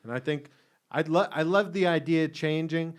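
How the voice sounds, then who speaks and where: A man co-hosting a loose podcast, talking into a headset from a room at home. His voice sits a little high, and he jokes, laughs a lot and swears casually. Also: normal speed. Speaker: podcast co-host. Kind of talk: casual chat. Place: home room, separate from co-host.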